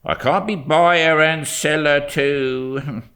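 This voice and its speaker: A man's voice: fairly high pitch, steady tone, slow pace, bright, forceful tone, voice pushed up. A man voicing a grumbling, haggling middle-aged shopkeeper who talks in a colloquial, complaining way in a storybook reading.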